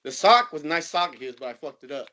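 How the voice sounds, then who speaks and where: A man's voice: on the high side, animated, fast talker, pushed and bright, voice pushed down. A man voicing a crude, defiant old sock puppet in an improvised podcast bit, swearing and stumbling over his words. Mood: grumbling.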